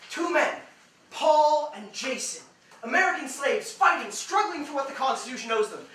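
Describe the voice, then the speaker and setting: A man's voice: extremely high pitch, expressive, normal speed, sharp tone, full character voice. A man on stage playing a skeptical, sarcastic writer with a theatrical, melodramatic streak. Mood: impassioned. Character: skeptical, sarcastic male writer given to overblown zeal. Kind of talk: theatrical staged-reading dialogue. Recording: distant echoey stage recording, faint noise.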